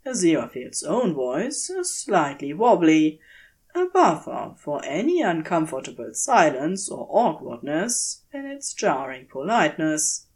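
A woman narrates a piece of fiction in a gentle, measured storytelling manner. Her voice sits a little low but moves widely in pitch, and she reads very slowly, giving each phrase room. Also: very animated. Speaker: audiobook reader of fan fiction.

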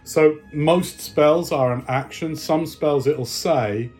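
A man's voice: a little high, slow pace, darkish tone. Informal male game master over music. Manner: informal, explanatory. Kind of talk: narrating a family tabletop game.

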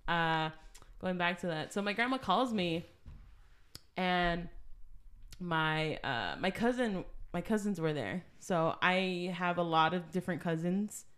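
Young woman, podcast co-host, casual storytelling, lots of fillers, slightly low pitch. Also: measured pace, fairly bright tone, clean tone. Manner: chatty storyteller, frequent "like" fillers. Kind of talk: casual chat.

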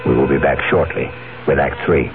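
Man, radio drama host, ominous narration, deliberate, narrow old broadcast sound, music behind. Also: a bass voice, creaky. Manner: grave, deliberate.

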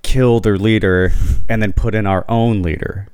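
A man's voice: lowish voice, steady tone, moderate pace, a little mellow, clean tone, no room sound. A man hosting a podcast, chatting casually, rambling and swearing freely. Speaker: podcast host.

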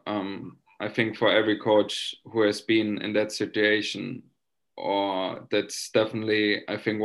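Man, Finnish accent, podcast co-host, fry-laden low voice, flat delivery, halting phrasing. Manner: halting, searching for words. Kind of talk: casual podcast interview.

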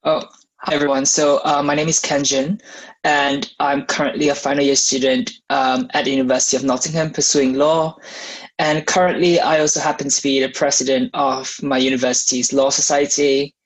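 A young man from Malaysia, a law student, answering interview questions in a thoughtful, measured way. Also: mid-range voice, little pitch movement, poor audio.

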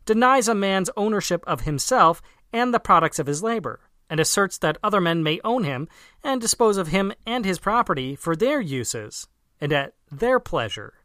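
Audiobook narrator, bright forceful tone, dry close sound, formal scripted reading, measured, emphatic. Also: medium pitch, sing-song, no room sound. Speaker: audiobook reader. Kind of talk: scripted narration of a political essay.

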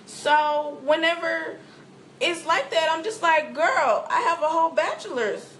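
A young woman chatting casually on a podcast with her roommates, expressive and animated in how she talks. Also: extremely high pitch, measured pace, slight background noise, low-quality recording. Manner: animated, expressive, drops into quoted voices. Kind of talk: casual chat. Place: shared apartment.

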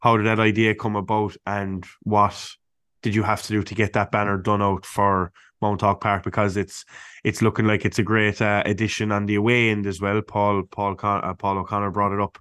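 A man with an Irish accent hosting a podcast, asking long, relaxed, loosely worded questions. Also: lowish voice, normal speed, clean tone, no echo, clean studio sound. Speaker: podcast host. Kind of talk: casual podcast interviewing.

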